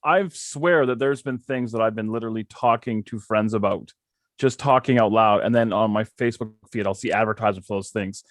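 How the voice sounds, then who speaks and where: Forties male guest, quick delivery, dry close sound, easygoing and agreeable. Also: fairly low pitch, no room sound. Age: 40s. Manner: friendly, agreeable banter. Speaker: podcast guest. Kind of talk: casual chat.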